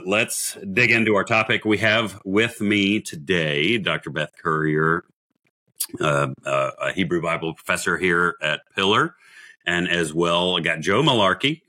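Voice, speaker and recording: A man hosting a podcast, his voice scratchy from days of shouting, talking in a jovial, teasing, rambling way. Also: low voice. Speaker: podcast host. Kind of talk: casual podcast chat.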